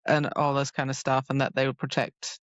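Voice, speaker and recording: A woman's voice: very deep voice, little pitch movement, fairly quick, no room sound, dull audio. A woman co-hosting a podcast in casual book chat, informal and exclamatory, often quoting reactions. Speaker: podcast co-host.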